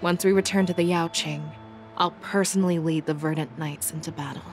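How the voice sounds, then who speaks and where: A woman plays a young fox-eared warrior general who speaks with calm, firm resolve. Her voice sits low, and the lines are studio-clean game dialogue with music clearly beneath. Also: brisk, natural voice. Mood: resolute.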